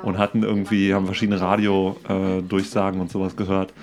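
A man's voice: sits low, monotone, quick pace, darkish tone. A man co-hosting a podcast in a casual chat, enthusiastic and slangy, mixing English words into his talk. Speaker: podcast co-host.

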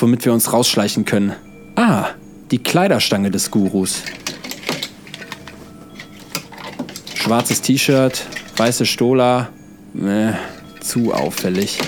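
Lively slangy frantic young man, played by an actor. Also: medium pitch. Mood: excited searching. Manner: exclamatory, slangy, melodramatic. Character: frantic loyal young slacker friend, comic hero. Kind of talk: amateur comedy audio drama acting.

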